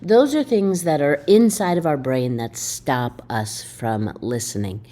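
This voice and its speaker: Woman, college instructor, video lecture, emphatic, questioning, low mellow voice. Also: a little slow. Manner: animated, emphatic, asks the listener questions.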